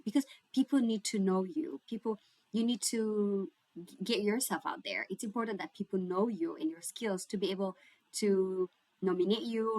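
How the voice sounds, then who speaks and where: A woman's voice: fairly low pitch, no echo, rough recording. A woman with an Ethiopian accent chatting informally to camera as a host giving guidance, repeating phrases for emphasis. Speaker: YouTube host giving career and scholarship guidance. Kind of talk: casual chat to camera. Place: indoors, homemade home recording setup. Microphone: separate microphone.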